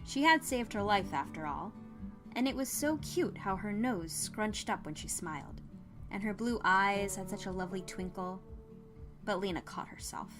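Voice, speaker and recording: A woman's voice: medium pitch, animated, normal speed, music in the background. A woman narrator reading a scripted fan fiction story.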